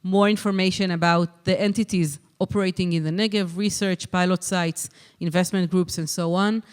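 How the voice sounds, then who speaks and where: A conference session convener speaks into a handheld microphone. The voice is smooth and clear at a mid pitch. The delivery is measured, with many ums and uhs between phrases. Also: fairly even intonation. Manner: measured, with frequent um and uh. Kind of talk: conference moderation and answers. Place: conference hall.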